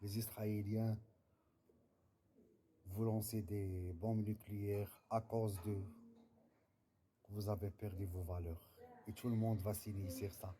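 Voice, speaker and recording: A man chatting casually in a non-native language, halting and searching for words. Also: on the low side, fairly even intonation, mellow, a little hiss or hum. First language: not French. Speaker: vlogger. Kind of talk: casual chat.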